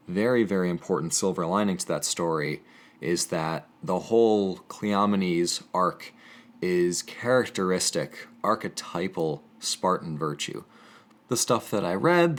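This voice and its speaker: A young man speaking as a presenter of history and poetry, earnest and emphatic in an educational delivery. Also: sits low. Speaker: presenter reading and explaining poetry. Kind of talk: casual educational commentary with dramatic poetry reading.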